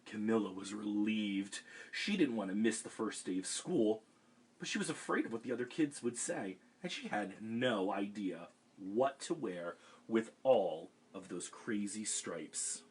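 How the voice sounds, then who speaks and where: A man reading a picture book aloud to children over a livestream, with gentle chatty asides. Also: lowish voice, animated, unhurried, slightly rough, rough recording. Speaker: storytime reader for children. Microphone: webcam livestream.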